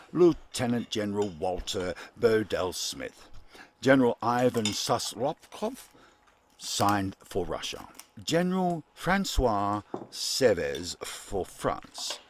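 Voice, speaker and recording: A man acting as a solemn wartime newsreader of the 1940s, with a fairly low voice and very slow, deliberate phrasing. He delivers a formal radio bulletin over a clearly noisy recording. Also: heavily acted.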